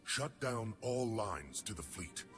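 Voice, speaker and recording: A man's voice: fairly low pitch, sing-song, takes it slowly, music in the background. A man voicing a proud, grave alien warrior commander in a video game, speaking formally and with authority. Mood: grim, commanding. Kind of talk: video game cutscene acting.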